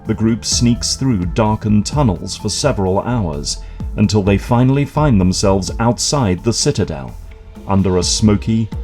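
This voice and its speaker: A male narrator with a low, dark, mellow voice. He reads the scenes in a measured, descriptive way. Faint music plays beneath him. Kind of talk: scripted narration.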